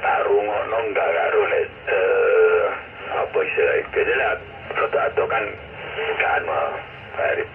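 A man's voice: deep voice, moderate pace, vocal fry. A man with a Javanese accent answering over a two-way radio, easygoing, giving signal reports. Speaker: radio caller. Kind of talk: casual two-way radio chat. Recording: tinny radio channel with loud static.

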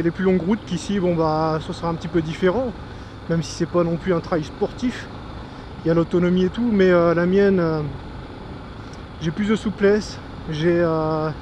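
High-voiced Frenchman talking over loud noise. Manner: enthusiastic, informal, thinking aloud. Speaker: motovlogger. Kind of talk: casual vlog commentary while riding a motorcycle. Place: outdoors on a moving motorcycle on country roads. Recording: noisy outdoor recording with engine and wind.

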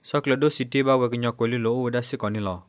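A man's voice: medium pitch, fast, over loud music. A man reading scripted radio news. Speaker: news reader.

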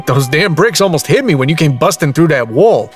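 An actor playing a cocky, streetwise young drifter in an audio drama, wisecracking in folksy, colloquial speech. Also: male voice, fairly high pitch, animated, normal speed, soft background music, natural voice. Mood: impressed, curious.

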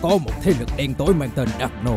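A man's voice: medium pitch, lively intonation, brisk, close to an everyday voice. A man voicing a solemn dinosaur robot warrior in a cartoon dub, formal and declamatory. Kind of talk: animated action cartoon dub. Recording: music behind the voice.

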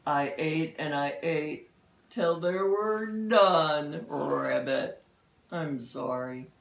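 Woman voicing a low, sorry storybook toad. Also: reverberant, exaggerated voice. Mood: remorseful. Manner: slow, mournful confession with croaks. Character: sorry, grumpy toad, croaking ribbits.

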